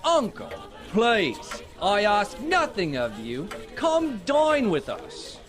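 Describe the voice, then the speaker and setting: A man playing a cheerful, warm-hearted young nephew with a British accent, earnest and pleading, in an amateur audio drama. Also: high-pitched, wildly swooping pitch, slow pace, music in the background, exaggerated voice. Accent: put-on British.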